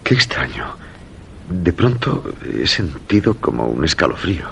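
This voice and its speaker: A quiet, inquisitive husband in a Spanish suspense radio play. His Castilian voice sits low and crackles with gravel. The intonation moves freely as he probes and insists on knowing. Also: big pitch swings, unhurried, exaggerated voice. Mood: intrigued, uneasy. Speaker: radio drama actor. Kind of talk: suspense radio drama acting.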